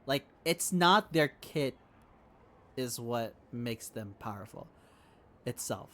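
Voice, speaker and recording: A man's voice: medium pitch, animated, slow, a bit bright. A young adult man streaming a game, chatting casually in a rambling, sweary way. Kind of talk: casual chat while playing.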